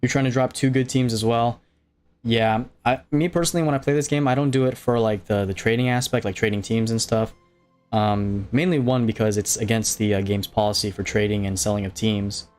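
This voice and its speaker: A man's voice: on the low side, fairly even intonation, brisk, darkish tone, faint music behind. A man with a slight Hawaiian accent, chatting casually on a game live stream, rambling with fillers and restarts as he answers viewers. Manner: rambling, many fillers and restarts, reading chat aloud.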